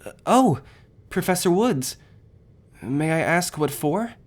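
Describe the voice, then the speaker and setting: A man playing an anxious, expressive young academy student in an audio roleplay. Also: a little high, takes it slowly. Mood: nervous.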